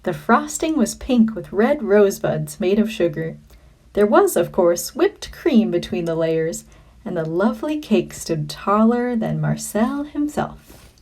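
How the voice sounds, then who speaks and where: A woman reading a children's story like a teacher, with expressive, deliberate phrasing. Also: medium pitch.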